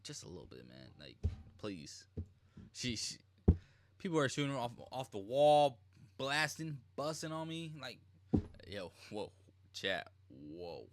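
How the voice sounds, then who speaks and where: A man's voice: low voice, a bit bright, slight background noise. A man giving casual live reaction commentary as a game streamer, slangy and informal.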